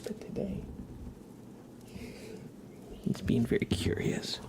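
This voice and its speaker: An ASMR livestream host who whispers and talks softly in a gentle, affectionate way, close to the microphone. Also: slow, background noise. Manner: gentle, affectionate cooing at a pet. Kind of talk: live ASMR, whispered and soft-spoken greetings and chat. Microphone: close sensitive microphone.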